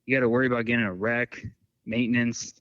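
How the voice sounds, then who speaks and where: A man's voice: medium pitch, flat delivery, quick pace, no echo. A man chatting casually as a podcast guest, telling loose stories full of fillers. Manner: rambling, filler-heavy storytelling. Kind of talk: casual chat. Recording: dull, dry lo-fi sound.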